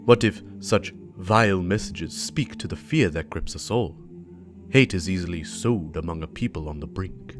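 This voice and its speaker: A man playing grave conspirators plotting, in a tense, deliberate and theatrical dramatic reading of video game dialogue. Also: sits low, music in the background, natural voice. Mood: conspiratorial, grave. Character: two grave conspirators, a cold schemer and a doubting recruit.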